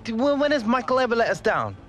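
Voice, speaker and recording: An actor playing an earnest, defensive young professional footballer in a video game drama. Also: male voice, very high voice, big pitch swings, fast, a bit bright, faint music behind, heavily acted. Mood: exasperated. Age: young adult.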